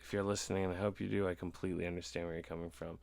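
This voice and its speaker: A man hosting a podcast in casual chat, halting and often repeating his words. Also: low-pitched, level tone, gravelly, darkish tone. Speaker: podcast host.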